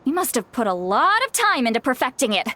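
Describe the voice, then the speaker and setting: A woman's voice: high voice, sing-song, a little fast, bright, forceful tone, a little hiss or hum, exaggerated voice. A woman voicing a hot-tempered but caring old granny in a video game, scolding in an exasperated, emphatic way. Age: older.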